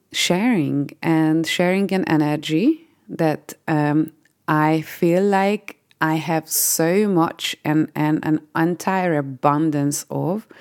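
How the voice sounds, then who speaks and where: A woman's voice: deep voice, a little mellow. A woman from Hungary with a Hungarian accent, hosting a solo podcast in an upbeat, earnest, motivational way. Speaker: podcast host. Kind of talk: unscripted solo podcast monologue.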